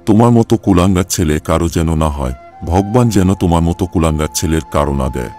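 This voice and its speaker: A man's voice: low-pitched, animated, a little fast, dark tone, soft background music. A man playing a stern, reproachful older company boss in a cartoon, lecturing and scolding.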